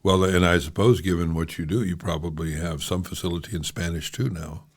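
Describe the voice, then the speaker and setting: A man's voice: sits low, fairly even intonation, a little fast. A man with a Texan accent hosting a podcast interview, talking in a thoughtful, reflective way with easy fillers. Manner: thoughtful, reflective, with a leisurely pace and frequent fillers. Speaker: podcast host. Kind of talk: casual interview.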